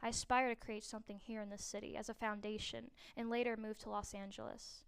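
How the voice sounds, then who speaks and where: Young woman, student narrator, earnest read of a scripted essay, slightly high, smooth voice. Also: flat delivery, a little fast. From New Orleans, USA. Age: young adult.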